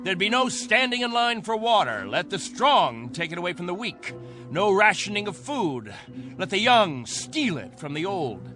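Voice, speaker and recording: A high-pitched, commanding space captain. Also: male voice, sing-song, normal speed, bright, forceful tone, faint music behind, heavily acted. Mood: scornful oratory. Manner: oratorical, authoritative. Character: commanding space colony captain, stern, fervent leader. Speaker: actor. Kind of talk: dramatic radio acting.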